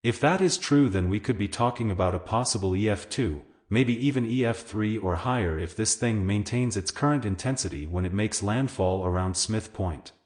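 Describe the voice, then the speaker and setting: A man's voice: lowish voice, a little mellow, close to an everyday voice. A man playing a concerned local news weather anchor, giving breaking news commentary in an informal, emphatic way. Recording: echoey room sound.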